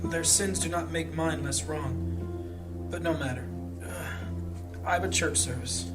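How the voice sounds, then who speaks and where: An actor playing an earnest, solemn priest in a fantasy audio drama, speaking gravely. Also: male voice, fairly high pitch, slow pace, over loud music. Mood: remorseful. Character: earnest, guilt-ridden priest, a solemn holy man.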